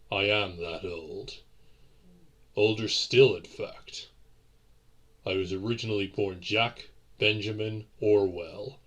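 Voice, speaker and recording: A centuries-old vampire gentleman, acted by a man for a romantic audio roleplay, speaks in a very low, husky voice. He is courtly and brooding, addressing his lover slowly, with formal, old-fashioned phrasing.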